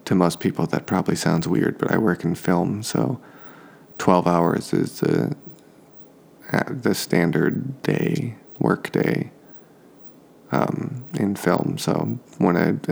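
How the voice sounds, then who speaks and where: A tired man hosting a sleep podcast, speaking softly in an unhurried, relaxed and understated ramble. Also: deep voice, vocal fry, husky. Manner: slow, deliberately relaxed, understated. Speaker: podcast host. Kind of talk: soft-spoken rambling sleep podcast monologue.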